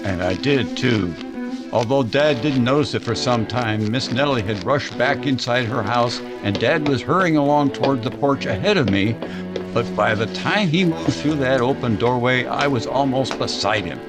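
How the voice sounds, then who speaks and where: A man's voice: mid-range voice, animated, moderate pace, loud music behind the voice, natural voice. A man acting as the narrator of a 1940s-style radio drama, recalling his boyhood in a conversational, hesitant way. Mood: urgent reminiscence. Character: man fondly narrating a boyhood memory of a small-town tragedy.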